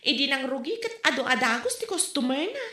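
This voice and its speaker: A woman's voice: sits high, very animated, a little fast, pushed and bright, heavily acted. An actress playing a pushy, easily exasperated woman market vendor in a comedy audio drama, with an Ilocano accent from the northern Philippines. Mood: pleading. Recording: echoey room.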